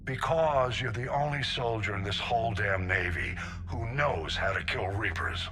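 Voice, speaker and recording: An actor playing a gruff, seasoned older navy admiral in a video game, blunt and authoritative. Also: male voice, on the low side, expressive, normal speed, bright, forceful tone, a little hiss or hum. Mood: earnest, rousing. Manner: blunt, authoritative military briefing delivery. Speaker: military admiral. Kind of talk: scripted video game acting.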